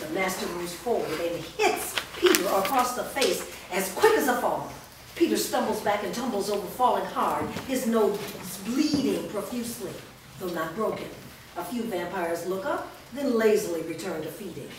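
A woman's voice: medium pitch, animated, moderate pace. A woman reading stage directions as narrator at a staged play reading. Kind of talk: scripted narration. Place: indoor performance room, seated before an audience. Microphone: far camera. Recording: distant mic, very echoey noisy room.

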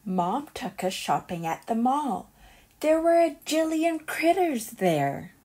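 A woman reads a children's story aloud at a very unhurried pace. Her voice sits fairly high, and she tells it expressively and deliberately, as a storyteller would. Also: big pitch swings, darkish tone. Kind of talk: children's picture book read-aloud.

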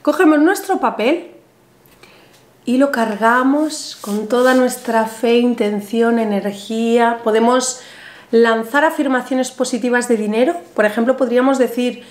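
A woman in her thirties with a Spain Spanish accent, seated at a table, explaining a ritual step by step in a chatty, animated way. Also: a little high, a little slow. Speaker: ritual instructor. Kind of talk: step-by-step ritual tutorial to camera. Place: small bright room indoors, seated at table. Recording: roomy echoey room sound.

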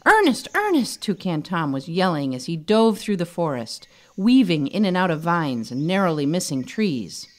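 Animated low-voiced woman reading a storybook. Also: moderate pace, hardly any pauses. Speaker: author reading her children's book. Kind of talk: expressive children's storybook narration.

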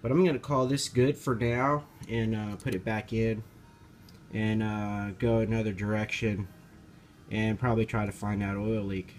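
A man giving a casual, hands-on repair walkthrough in an informal, halting, hesitant way, recorded on a handheld camera. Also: fairly low pitch, steady tone, faint music behind. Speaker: amateur car repair video maker. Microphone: handheld camera held over the engine. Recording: noticeable background noise, amateur handheld camera.

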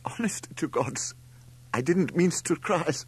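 A man voicing a huge, warm-hearted, emotional man speaking broken English. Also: a little high, expressive, unhurried, breathy edge, voice pushed up. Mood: sobbing, affectionate.

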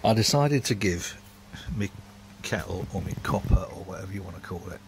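A man's voice: sits low, lively intonation, a little fast, gravelly, a little hiss or hum. A man, rambling casually and self-mockingly while filming his home brewery workshop on a handheld phone.

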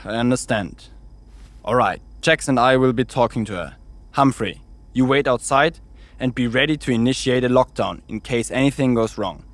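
A man acting a stern male crew leader, mid-range pitch, curt, sardonic, cautious, audio drama. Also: normal speed, dry recording, natural voice. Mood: resigned, commanding.

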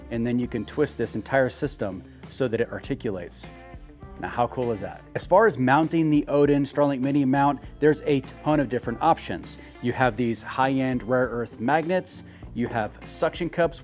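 A man's voice: mid-range voice, darkish tone. A middle-aged man casually walking through a gear build in a wooden workshop, explaining each part with easy enthusiasm on a lapel mic. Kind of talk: product build walkthrough. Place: open wooden workshop, indoors.

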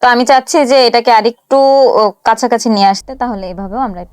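A woman presenting a casual step-by-step app tutorial, explaining each action haltingly as she does it. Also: a little high, a little mellow, a little hiss or hum.

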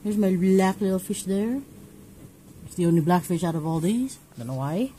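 A woman's voice: on the low side, moderate pace, noisy background. A young woman from Hong Kong talking casually at home in a personal vlog, rambling and restarting her sentences as she thinks aloud. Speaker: vlogger. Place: home, indoors.

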